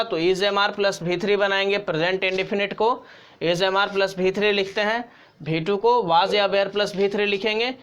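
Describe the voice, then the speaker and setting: Male English grammar teacher, Indian accent, unhurried step-by-step delivery, instructional, repeats the important points. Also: high-pitched, a bit bright, smooth, muffled recording. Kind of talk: step-by-step tutorial explanation.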